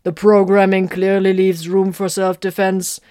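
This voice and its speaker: A woman's voice: lowish voice, completely flat delivery, slow, warm tone. Woman doing a stubborn starship machine spirit. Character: ship's machine spirit, a man's brain wired into a starship.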